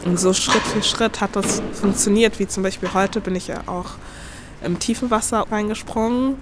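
A woman's voice: mid-range voice, moderate pace, slight background noise. A woman in her thirties telling her story candidly in an interview. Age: 30s. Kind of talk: interview answers.

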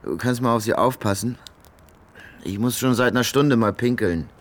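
A man's voice: mid-range voice, natural voice. An actor playing a greedy, scheming homeless man in a German radio crime drama, crooning and muttering with sly glee. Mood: gleeful, boastful. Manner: slow, crooning, swinging into muttering and chuckles.

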